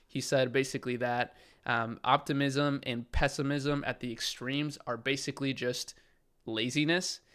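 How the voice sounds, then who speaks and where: A man's voice: medium pitch, fairly even intonation, normal speed, fairly bright tone, smooth. A young man from Chile talking casually to camera as an e-commerce channel host, upbeat and enthusiastic, with lots of fillers. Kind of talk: casual direct-to-camera talk.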